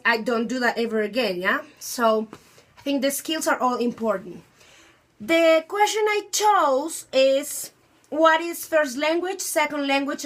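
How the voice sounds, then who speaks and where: A woman in her twenties with a Colombian accent, a native Spanish speaker who teaches English, speaking thoughtfully and pausing to search for words. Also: high voice, a bit bright. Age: 20s. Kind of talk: personal talk on language learning.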